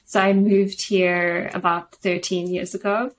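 A woman's voice: medium pitch, unhurried, slight background noise. South African woman telling her story. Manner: thoughtful, steady storytelling with frequent ums. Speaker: guest. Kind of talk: video call interview, personal story. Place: home room with shelves and plants, indoors. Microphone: video call webcam.

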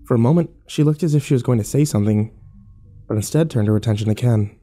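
A man's voice: mid-range voice, fast, warm tone, close to an everyday voice. An actor playing a weary, irritable hospital patient who tells his story in a sullen, sardonic first-person horror narration. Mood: sardonic, annoyed.

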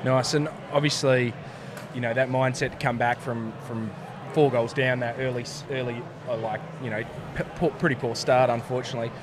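An Australian man co-hosting a post-game podcast, asking upbeat questions at a boom mic. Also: steady tone, fairly quick. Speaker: podcast co-host. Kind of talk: casual post-game interview. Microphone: boom mic on arm, headphones. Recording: noisy busy room.

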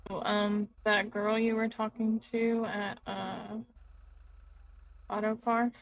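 A woman's voice: mid-range voice, flat delivery, slow pace, highs cut off. A woman talking casually as a paramedic partner in online game roleplay.

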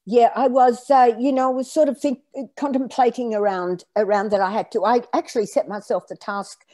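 A woman's voice: on the high side, fairly quick, dry recording. A woman around seventy, French as her first language, telling her family's story as a guest on a video call interview from her home. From Australia. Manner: animated, gestures while telling stories. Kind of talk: conversational interview. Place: home room, indoors.

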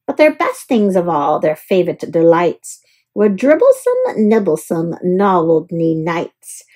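A woman reading a children's picture book aloud as an expressive storyteller. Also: lowish voice, a little slow.

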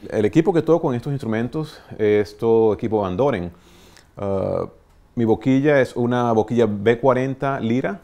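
A man in his thirties from Venezuela with a Venezuelan Spanish accent, an orchestral clarinetist introducing himself to camera in a small shop studio, speaking unhurriedly with many pauses and fillers. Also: mid-range voice, dark tone. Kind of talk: relaxed self-introduction to camera.

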